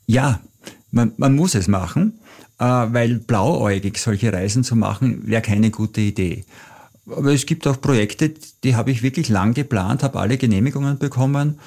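A middle-aged man with an Austrian German accent, a travel author telling anecdotes in a reflective, measured way as an interview guest. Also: mid-range voice, creaky, darkish tone. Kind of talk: interview answers, storytelling.

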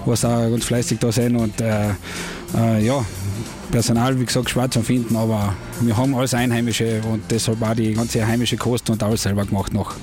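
Carinthian innkeeper, monotone and halting, interviewed at an outdoor event with music. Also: male voice, medium pitch. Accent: Carinthian Austrian German. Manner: easygoing, hesitant with fillers. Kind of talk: casual interview.

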